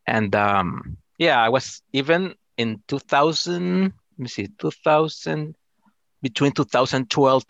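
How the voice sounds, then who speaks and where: A middle-aged man from El Salvador with a Salvadoran Spanish accent, answering casually in halting English, often repeating and rephrasing his words. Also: mid-range voice, gravelly, a bit bright, no echo, lo-fi sound. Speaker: interview guest, amateur astronomer.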